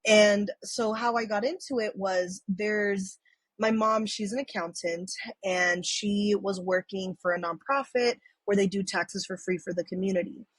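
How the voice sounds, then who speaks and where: A woman's voice: mid-range voice, steady tone, measured pace, husky, no room sound, low-quality recording. A woman in her thirties from San Francisco, a guest on a podcast, who tells stories in a rambling way full of fillers, restarts and casual swearing. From San Francisco, USA. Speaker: podcast guest and community organizer. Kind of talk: casual storytelling chat.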